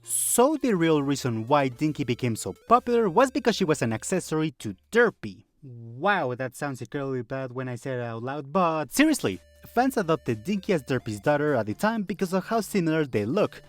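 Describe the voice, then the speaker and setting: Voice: mid-range voice, very animated, a little fast, few pauses, soft background music. A video essayist narrating a scripted fandom video in a conversational way, with rhetorical questions and wry asides.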